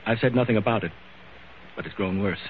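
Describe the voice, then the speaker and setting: An actor playing an earnest businessman husband in an old-time radio drama, speaking in a measured, probing and hesitant way. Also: on the low side, lightly acted. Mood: earnest, pleading. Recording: tinny, noisy old radio recording.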